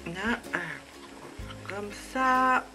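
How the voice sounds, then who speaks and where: Woman with a head cold, crafting tutorial host; slow, rambling, full of fillers, music behind. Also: fairly high pitch. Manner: chatty, many hesitations and fillers. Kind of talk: casual crafting demonstration.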